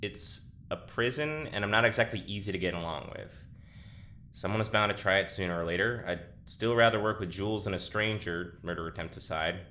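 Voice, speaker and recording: A man's voice: on the low side, brisk, sharp tone, slight background noise. A man playing a sarcastic, irritable prisoner and test subject in an audio drama, snarky and informal. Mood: wry, uneasy. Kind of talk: scripted audio drama acting.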